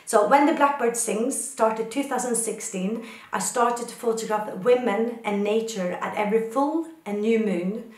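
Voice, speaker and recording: A woman's voice: on the high side, unhurried, a little mellow, roomy sound. A woman from Sweden, a photographer, answering questions in a reflective personal talk with thoughtful, poetic phrasing.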